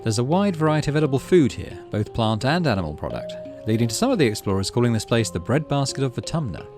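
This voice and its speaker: A man hosting a gaming channel, reading a visual novel aloud and making relaxed, musing asides. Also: medium pitch, fast, over music.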